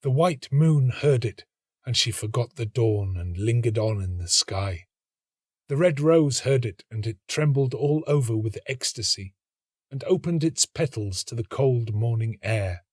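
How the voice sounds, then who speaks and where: Animated male storybook narrator, dry close sound. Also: mid-range voice, breathy edge, dry recording. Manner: measured, dramatic, expressive. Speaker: story reader, actor.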